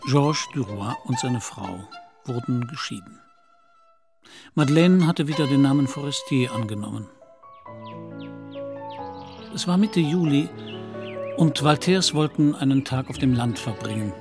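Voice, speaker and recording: Man, narrator, scripted literary storytelling, radio drama, mid-range pitch, clear music underneath. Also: unhurried, pauses often.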